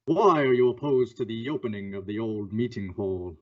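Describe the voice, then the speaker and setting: A man acting a stern, menacing invisible crime fighter in an old-time radio thriller, speaking deliberately and accusingly. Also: on the low side, expressive. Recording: dull lo-fi audio.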